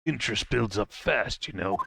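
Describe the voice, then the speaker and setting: Man doing a gravelly New mobster loan shark. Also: on the low side, lively intonation, normal speed, very noisy. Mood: menacing. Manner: slangy tough-guy talk. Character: thuggish male mobster loan shark, brash and crude. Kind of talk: fan dub of a video game. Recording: music playing behind the voice.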